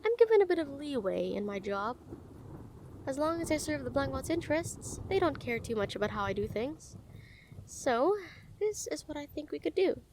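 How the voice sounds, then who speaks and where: A woman playing a calm, menacing yet smitten assassin in an intimate audio roleplay, speaking softly and teasingly with deliberate pauses. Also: high voice, slight background noise. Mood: smitten, confiding. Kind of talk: intimate scripted audio roleplay addressed to the listener.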